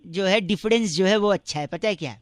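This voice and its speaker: A man's voice: high voice, fairly quick, no echo, studio quality, natural voice. A man voicing a cheeky, pestering young prankster, teasing people on a radio prank call with mock politeness. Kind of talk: comic prank call banter with riddles.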